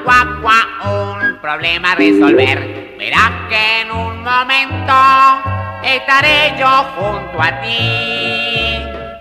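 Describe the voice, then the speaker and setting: A woman's voice: a little high, animated, slow pace. A woman voicing a helpful duckling who quacks in singsong rhyme in a children's storybook. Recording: echoing hall, loud music behind.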